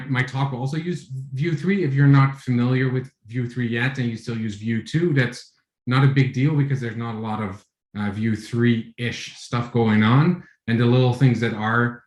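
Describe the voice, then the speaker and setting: A man in his forties from the Netherlands with a Dutch accent, giving a relaxed tech talk over a video call, with many fillers and restarts. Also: mid-range voice, reverberant. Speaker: conference speaker. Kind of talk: online tech talk with screen share.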